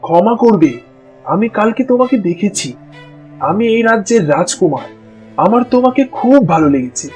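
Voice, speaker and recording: A man's voice: sits high, lively intonation, moderate pace, darkish tone, highs cut off, soft background music. A man voicing a smitten young prince in a fairy-tale cartoon. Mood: earnest, wooing.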